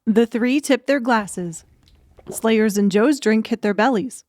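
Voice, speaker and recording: A woman's voice: fairly high pitch, slow, a little mellow, a little hiss or hum. A woman reading scripted narration as the narrator of an audiobook.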